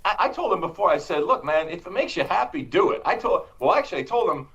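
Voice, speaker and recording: A man's voice: high voice, animated, fast, a bit bright, lo-fi sound. A man speaking as a sports guest, enthusiastic and rambling with fillers. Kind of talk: interview conversation.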